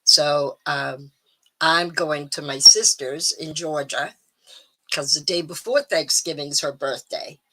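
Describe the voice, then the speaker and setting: A middle-aged woman hosting a live stream, chatting casually and rambling with ums, a little hoarse. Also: sits low, steady tone, takes it slowly, pushed and bright, low-quality recording. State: slightly hoarse. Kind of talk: casual chat to viewers.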